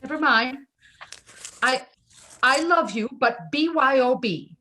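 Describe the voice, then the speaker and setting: Woman acting an indignant, bright-voiced stubborn mother. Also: high voice, lively intonation, slow, a little hiss or hum, heavily acted. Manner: indignant, exclamatory. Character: stubborn, defensive mother of a grown son, knitting cat lover. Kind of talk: live play reading over video call. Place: indoors, on a video call.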